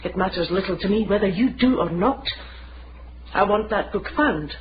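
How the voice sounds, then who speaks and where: Woman as imperious mistress, cold, commanding, mid-pitched, old radio drama, dull lo-fi sound. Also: lively intonation, moderate pace, noisy background, lightly acted. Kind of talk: radio detective drama acting.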